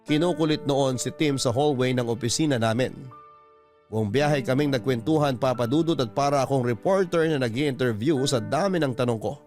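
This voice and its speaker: A man's voice: mid-range voice, a little mellow. A man giving scripted first-person narration as a radio drama host reading out a letter. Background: background music.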